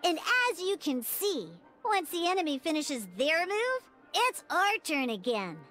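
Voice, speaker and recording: A woman playing a small, bubbly, childlike girl companion in a video game, with a squeaky voice and a perky, encouraging way of explaining. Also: sing-song, measured pace, fairly bright tone, soft background music, exaggerated voice. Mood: cheerful, instructive. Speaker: game tutorial guide. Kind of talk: animated video game character tutorial dialogue.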